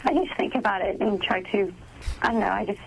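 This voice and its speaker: Man putting on a gravelly, dreamy young woman fan. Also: high-pitched, fairly quick, a little hiss or hum, pitched above the performer's own voice, low-quality recording.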